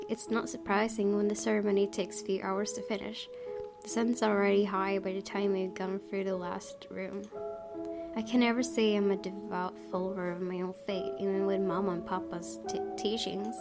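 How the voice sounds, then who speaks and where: A young woman hosting a let's play, chatting casually and reading game text aloud. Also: mid-range voice. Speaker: gaming video host. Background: clear game music.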